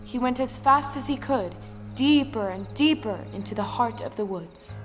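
Woman storyteller played by an actress for audio folktale, slightly high, dramatic, music underneath. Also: moderate pace, close to an everyday voice. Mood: storytelling. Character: female storyteller of an old folktale. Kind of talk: scripted dramatic folktale narration. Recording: music behind the voice.

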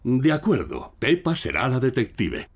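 A man's voice: medium pitch, huge pitch swings, normal speed, slightly rough. A man voicing a jolly, kindly middle-aged daddy pig in a children's cartoon, with a hammy, playful manner and a Castilian Spanish accent. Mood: explaining kindly.

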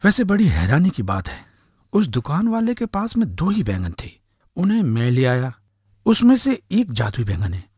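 Man playing a husky, very expressive cartoon husband. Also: a little high, normal speed, no echo, clean studio sound, full character voice. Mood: grateful, earnest. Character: anxious, earnest young husband and office worker. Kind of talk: animated moral story voice acting.

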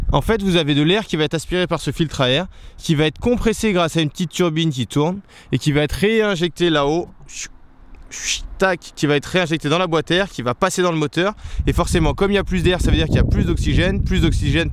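A man's voice: a little high, dry recording. Chatty young man vlogging outdoors. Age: young adult. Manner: chatty, explains things with many fillers and restarts. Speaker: motorcycle stunt vlogger. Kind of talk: casual vlog talk to camera. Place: outdoors on a paved lot. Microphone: handheld camera at arm's length. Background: outdoor ambience, passing plane.

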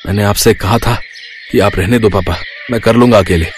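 Young farmer son played by a man, cartoon moral story: dutiful, weary, low and soft-spoken, mildly complaining. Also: fairly quick, slight background noise, natural voice. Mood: protesting.

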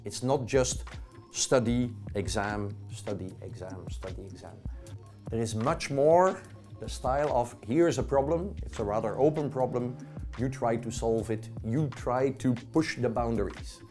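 A man's voice: mid-range voice, a little slow, soft background music. A middle-aged man, a professor, explaining things emphatically in an interview. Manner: emphatic, lively rhetorical delivery. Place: office with bookshelves.

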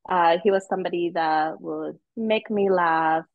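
A middle-aged woman from Zambia, a guest telling her personal story in an unhurried, earnest way with many ums. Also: lowish voice, dry recording, phone-quality sound. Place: outdoors on a footbridge.

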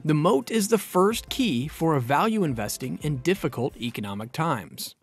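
A man reading a scripted explainer narration in a smooth, read-aloud delivery. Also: fairly high pitch, animated, moderate pace, soft background music.

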